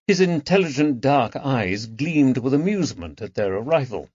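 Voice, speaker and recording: A man's voice: mid-range voice, very animated, normal speed, darkish tone, slightly rough, dry recording. Male audiobook narrator, dull lo-fi sound. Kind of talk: scripted narration of a historical mystery novel.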